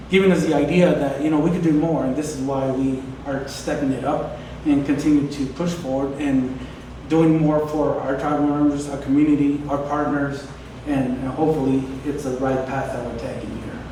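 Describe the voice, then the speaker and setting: Man, council representative, halting delivery at a press conference, distant mic, noisy room. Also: medium pitch, steady tone, dark tone. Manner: halting, with many hesitations. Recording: echoey room, distant mic, background noise.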